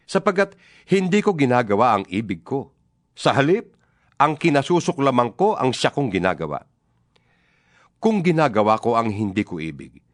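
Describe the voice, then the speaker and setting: A man's voice: mid-range voice, dry recording, clean studio sound. A man reads scripture as a dramatized narration, solemn and emphatic in an oratorical way. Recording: music behind the voice. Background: background music.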